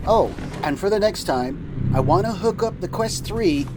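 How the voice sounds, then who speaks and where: Male trucker-captain host, slightly high voice, casual and folksy, loud vehicle noise throughout. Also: lively intonation, unhurried. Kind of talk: semi-scripted casual show banter.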